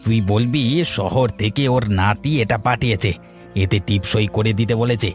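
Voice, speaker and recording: A man's voice: fairly low pitch, steady tone, moderate pace, faint music behind, close to an everyday voice. A man voicing a greedy, scheming village trader in a cartoon, coaxing and pushy. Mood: conspiratorial. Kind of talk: cartoon story dialogue.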